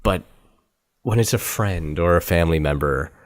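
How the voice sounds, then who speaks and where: A man hosting a podcast interview, talking in a relaxed, agreeable, conversational way. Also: low-pitched, normal speed. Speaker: podcast host. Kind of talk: casual interview chat.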